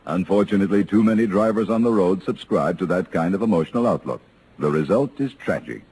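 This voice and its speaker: Deep, mellow male announcer. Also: fairly quick. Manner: earnest, formal, emphatic. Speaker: public service announcer. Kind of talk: scripted public service announcement. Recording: vintage radio recording with faint noise.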